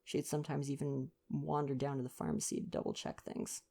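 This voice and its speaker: A woman reads a bedtime story in a gentle ASMR style. The voice is very low for a woman, dark and mellow, with a creaky edge. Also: level tone, fairly quick. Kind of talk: soft bedtime audiobook reading with casual asides.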